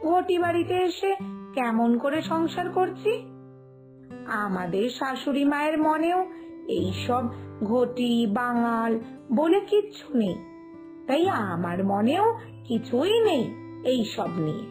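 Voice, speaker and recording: A woman playing a reassuring elder sister-in-law in a cartoon village tale, explaining things and gently chiding. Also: high-pitched, big pitch swings, slow, long pauses, music in the background, full character voice. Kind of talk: Bengali cartoon village family tale acting.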